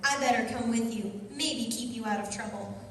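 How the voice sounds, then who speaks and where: A woman's voice: a little high, moderate pace, close to an everyday voice. A woman playing a skeptical, no-nonsense, impatient church choir lady in a live comic radio play. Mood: grumbling. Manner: dry, impatient. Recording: echoey hall from far off, hissy.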